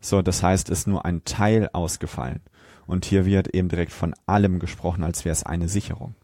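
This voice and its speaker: Deep, mellow German man in conversation. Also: steady tone, normal speed, dry recording, clean studio sound. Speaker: podcast co-host. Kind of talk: casual film discussion chat.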